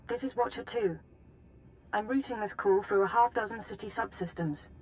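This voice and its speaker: A woman's voice: medium pitch, natural voice, rough recording. An actress playing an efficient female intelligence handler in a video game, briefing formally and precisely. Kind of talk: video game dramatic acting.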